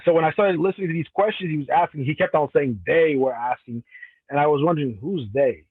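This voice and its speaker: A man's voice: on the high side, fast talker, husky, dry recording, phone-quality sound. A professional wrestler from Cameroon, a man answering interview questions in a casual, rambling way with frequent restarts. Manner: rambling, many restarts and self-corrections.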